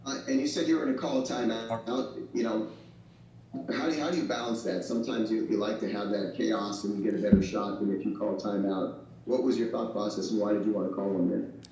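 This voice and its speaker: A man's voice: on the low side, steady tone, fairly quick, warm tone, slight background noise. A man, a sports reporter, asking long, probing questions at a press conference. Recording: echoey room, far from the mic.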